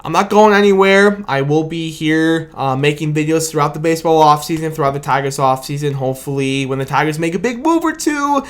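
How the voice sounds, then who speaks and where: A man's voice: on the high side, animated, brisk, hardly any pauses, a little hiss or hum. A tired young man, his voice starting to go late at night, rambling through a long, grateful sign-off to his sports reaction video. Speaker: sports fan video host. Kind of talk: late-night post-game reaction monologue. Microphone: camera mic with his own light.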